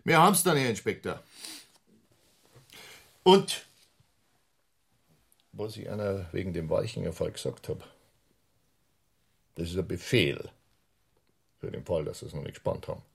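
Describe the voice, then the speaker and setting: An actor playing a brusque, sarcastic police superior with a Bavarian accent in a German radio crime drama. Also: male voice, deep voice, expressive, unhurried, creaky, long pauses, breathy edge, exaggerated voice. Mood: dismissive, commanding. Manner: brusque, condescending, commanding.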